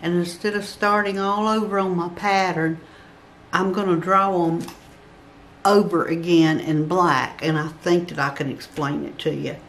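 An older woman talks through a drawing lesson at her work table, counting off squares one by one. Her voice sits a little low and moves at an unhurried, very slow pace. A faint hiss lingers under the recording. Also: fairly even intonation. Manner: slow, patient counting aloud while drawing. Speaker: craft instructor. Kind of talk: step-by-step drawing tutorial.

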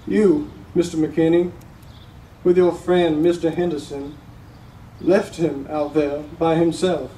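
A young man playing a solemn, resolute grieving father addressing a court in a stage monologue, with heavy pauses. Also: a little high, level tone, warm tone. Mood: grave sorrow. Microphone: distant camera microphone. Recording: roomy, noisy, recorded from far off.

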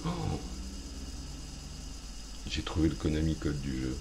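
Low-voiced man joking over a game. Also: steady tone, a little slow, background noise. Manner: self-mocking, rambling, playful asides. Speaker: gaming video host. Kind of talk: joking let's play commentary.

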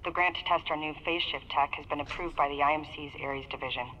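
Brief: driven young woman scientist-pilot, bright calm voice, muffled log recording. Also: deep voice, quick pace. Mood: determined. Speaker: game character audio log. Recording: muffled in-game log with faint hiss.

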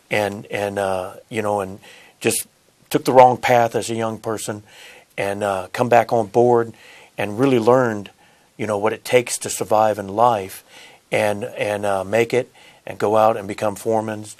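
A middle-aged man, a union apprenticeship coordinator answering in a studio interview, earnest and deferential on a lapel mic. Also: lowish voice. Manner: earnest, deferential, says "yes, sir".

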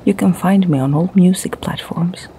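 A middle-aged woman from Sweden with a Swedish accent, whispering ASMR stories softly and slowly in her home library. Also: on the low side, a little hiss or hum. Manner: soft, slow whisper. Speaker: ASMR creator and storyteller.

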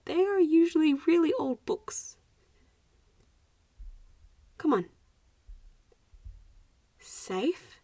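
A woman's voice: high-pitched, very animated, extremely slow, long pauses, dark tone, dry recording, exaggerated voice. Woman acting an anxious vampire schoolgirl, slightly distant. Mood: reassuring, apologetic. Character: anxious, protective popular vampire schoolgirl, student representative. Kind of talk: audio roleplay addressed to the listener.

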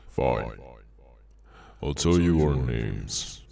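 A man voicing a cursed, inhuman henchman in an ASMR roleplay, bored, sardonic and menacing, with an unhurried dry delivery. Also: lively intonation, dark tone, far from the mic, exaggerated voice. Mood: dryly explaining. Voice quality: very deep, gravelly. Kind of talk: ASMR audio roleplay, slow dramatic monologue.

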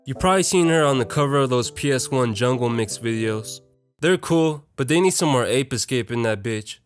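A young man with a mid-pitched voice narrates a video essay. He is casual, slangy and irreverent, talking like he is chatting to a friend, with faint music underneath. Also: expressive, moderate pace. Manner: irreverent, profane, conversational.

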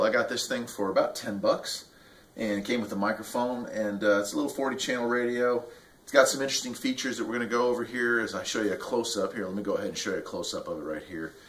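A man's voice: medium pitch, fairly bright tone. A man in his forties from the United States, talking casually to camera as he reviews gear in a small workshop. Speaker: vlogger reviewing radio gear. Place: small indoor radio workshop.

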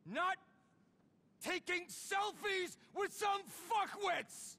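High-strung, flamboyant middle-aged club owner. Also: male voice, animated, drawn-out words, bright, forceful tone, exaggerated voice. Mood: ranting, manic. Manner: theatrical, ranting, foul-mouthed. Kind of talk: video game cutscene acting.

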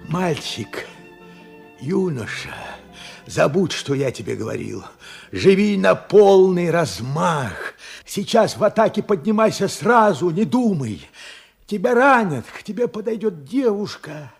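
A man's voice: high voice, big pitch swings, gravelly, breathy edge, exaggerated voice. An actor playing an old grandfather and war veteran in a Soviet radio play, tender, fervent and dramatic. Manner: dramatic, fervent, halting delivery. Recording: vintage radio play with music underneath.

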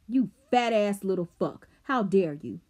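A woman's voice: lowish voice, lively intonation, takes it slowly, mellow, slightly rough, exaggerated voice. A woman voicing an enraged teenage bully boy. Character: furious teenage boy bully swinging a bat.

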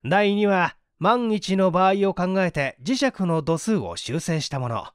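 An assured male detective scientist read by a man for a mystery audiobook. He lays out deductions patiently and articulately, like a teacher. The voice is highish and very animated, recorded dry. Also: unhurried, smooth, dry recording. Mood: patient, instructive. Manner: didactic, articulate. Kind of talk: dramatised audiobook reading.

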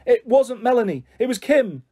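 A man's voice: sits high, expressive, fairly quick, mellow, breathy edge, pitched above the performer's own voice. A man voicing an anxious, tearful young schoolgirl who pleads insistently, in an audiobook. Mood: upset. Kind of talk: audiobook character voice.

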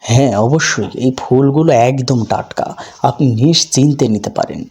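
A man's voice: mid-range voice, moderate pace, a little mellow, slightly rough. A man voicing an earnest, hopeful poor street vendor who thinks aloud in a folk tale cartoon. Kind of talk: cartoon voice acting.

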